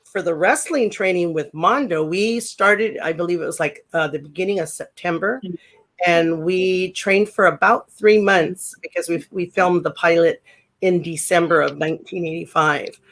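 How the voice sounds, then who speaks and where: A deep-voiced woman in her sixties talks through her memories as an interview guest. She rambles, circles back on herself and fills pauses with ums. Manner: rambling, full of fillers and restarts. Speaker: guest, former pro wrestler. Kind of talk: casual interview chat.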